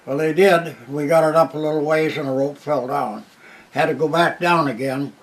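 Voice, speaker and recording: A man, a war veteran telling his story plainly with restarts and a loud voice. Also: a little high, fairly even intonation, rough recording. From Minnesota, USA. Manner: plain unhurried retelling with restarts. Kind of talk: oral history storytelling.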